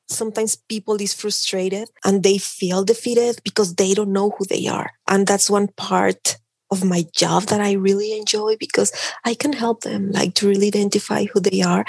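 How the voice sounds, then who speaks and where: A woman's voice: medium pitch, gravelly, breathy edge, dry recording. A middle-aged woman from Mexico with a Mexican Spanish accent, earnestly explaining her work as an image consultant in an interview, with frequent restarts. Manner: earnest, many restarts and "like" fillers. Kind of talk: casual interview talk.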